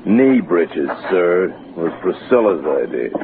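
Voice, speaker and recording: Dour servant, deep formal voice, dryly resigned, noisy vintage radio drama recording. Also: male voice, slow, phone-quality sound, full character voice. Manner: polite, deadpan. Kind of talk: 1940s radio drama acting.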